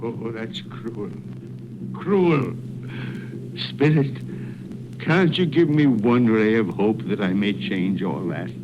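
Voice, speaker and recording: An actor playing a fearful old miser who pleads for redemption, in a theatrical, halting radio drama manner. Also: male voice, fairly low pitch, noisy background. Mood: dread, anguish. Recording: muffled old radio recording, music behind.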